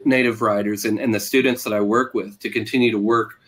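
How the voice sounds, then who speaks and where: A man's voice: on the low side, steady tone, fairly quick. Hesitant American man, thoughtful interviewee. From Oklahoma, USA. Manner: hesitant, searching, trails off and repeats phrases. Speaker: interviewed novelist.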